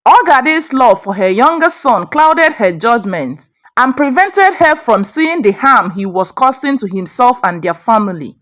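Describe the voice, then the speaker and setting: Woman storyteller with lively, higher-pitched voice. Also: unhurried. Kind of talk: scripted folktale narration.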